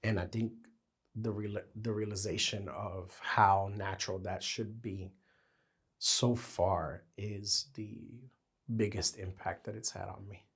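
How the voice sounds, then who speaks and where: A man with a very deep voice co-hosts a relaxed podcast chat. His voice is husky and worn because he is losing it. He finds his thoughts by talking them through. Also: slow pace. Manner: talks his thoughts out as he goes. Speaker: podcast co-host. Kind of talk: casual chat.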